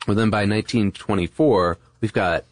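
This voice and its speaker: A man's voice: lowish voice, normal speed, dry recording. A man co-hosting a podcast, explaining history in a casual, conversational and informal way. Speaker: podcast co-host.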